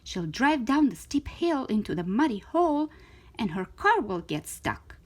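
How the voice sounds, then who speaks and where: A woman reading a children's story aloud in an expressive, theatrical way, with emphatic stretched words. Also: a little high, a little slow, slight background noise. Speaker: storytime reader of children's books.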